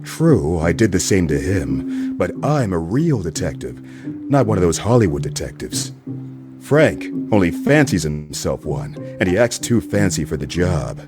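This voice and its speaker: Guy doing a wisecracking noir detective for radio, low voice that moves around a lot, music behind. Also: sing-song, measured pace. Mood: brooding, suspicious. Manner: wry, wisecracking. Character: wry hard-boiled male private detective. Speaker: lead actor and narrator. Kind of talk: noir radio play acting and narration.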